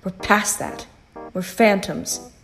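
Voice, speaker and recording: A woman playing a composed phantom in a sci-fi audio drama, reasoning in a measured, pointed and persuasive way. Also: medium pitch, gravelly, soft background music. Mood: earnest, challenging.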